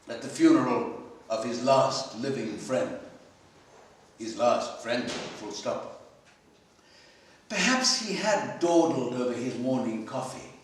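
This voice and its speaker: A man gives a live, expressive reading of literary fiction, measured and deliberately paused, in the manner of a practised storyteller. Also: mid-range voice, far from the mic. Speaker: story reader at a literary festival. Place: library hall, live festival reading. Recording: very echoey hall, picked up from afar.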